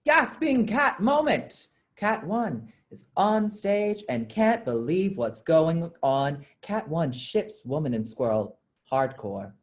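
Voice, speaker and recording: Lively narrator reading stage directions. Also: medium pitch, slow pace, hardly any pauses.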